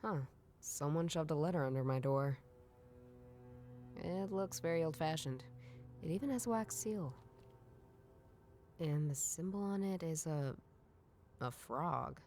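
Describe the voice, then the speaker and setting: Young woman detective for a noir game: low, dark tone, dry musing narration, faint music bed. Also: lively intonation, a little slow, pauses often. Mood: curious. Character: wry young woman detective chasing grandpa's riddles. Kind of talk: scripted game voice-over, inner monologue.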